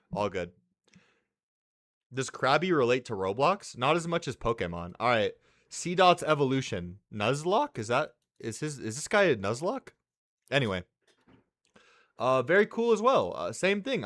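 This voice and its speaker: A man in his twenties from California with an American accent, chatting casually on a live stream in excitable, slangy talk and answering chat messages. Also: mid-range voice. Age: 20s. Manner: excitable, slangy, swears, says "bro".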